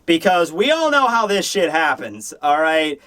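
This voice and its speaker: A man hosting a manga review, talking in a casual, opinionated, rambling and animated way with casual swearing. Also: a little high, a little slow. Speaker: host of a manga review show.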